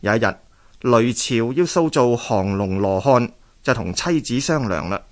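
Male Cantonese radio storyteller, medium pitch. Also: animated, unhurried. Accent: Hong Kong Cantonese. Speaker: narrator. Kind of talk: scripted storytelling narration for radio drama.

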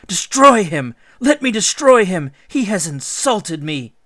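Storybook goddess male reader: furious, petulant, demanding, raised pitch, dry sound. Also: lively intonation, normal speed, dry recording. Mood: sobbing rage. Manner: petulant, shrill outbursts and hissed threats. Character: furious, sobbing, spoilt goddess, vengeful and demanding.

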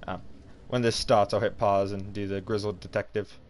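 A man's voice: lowish voice, little pitch movement, normal speed. A man livestreaming a game, chatting casually over the sounds of a fighting game. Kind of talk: casual gameplay stream chat. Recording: game noise behind voice.

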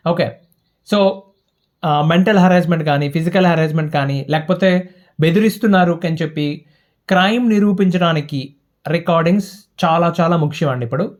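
A man's voice: fairly high pitch, brisk, pauses often. A man with a Telugu accent explaining things conversationally to viewers, informal and colloquial, asking questions and answering them himself, mixing in English terms. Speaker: host of a legal awareness channel.

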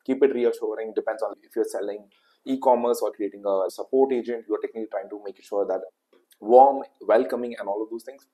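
A young man with an Indian English accent hosting a casual tutorial, talking quickly and loosely with lots of fillers. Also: fairly low pitch, fairly even intonation, warm tone, low-quality recording. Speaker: tutorial host. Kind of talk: casual screen-recorded tutorial. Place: indoor room.

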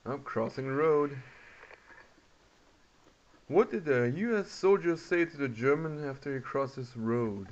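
A man giving casual gameplay commentary, muttering to himself in a halting way. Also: medium pitch, expressive. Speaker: video game player. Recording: muffled, noisy home recording.

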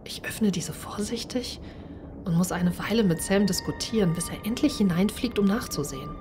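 A woman narrating a first-person story in an expressive, dramatised way. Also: mid-range voice, normal speed, music in the background. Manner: expressive, acts out the character's speech. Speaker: narrator and player of a solo role-playing game.

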